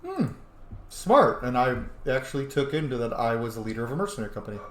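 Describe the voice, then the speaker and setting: A man's voice: mid-range voice, sing-song, quick pace, a bit bright. A man giving casual let's play commentary while roleplaying a video game, rambling and thinking aloud. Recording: roomy echo, faint background noise. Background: video game ambience.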